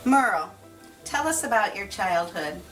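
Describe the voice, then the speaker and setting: A woman's voice: mid-range voice, lively intonation, a little slow. Woman interviewer, sounds kinda far from the mic, roomy echo, faint music. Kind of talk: interview questions.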